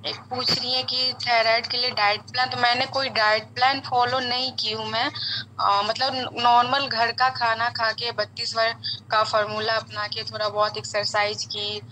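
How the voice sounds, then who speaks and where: A woman's voice: on the high side, flat delivery, moderate pace, pushed and bright, low-quality recording. A young woman answering questions as a guest on a casual live stream, explaining earnestly with frequent filler words. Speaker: guest sharing her weight-loss experience. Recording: faint background noise on live call.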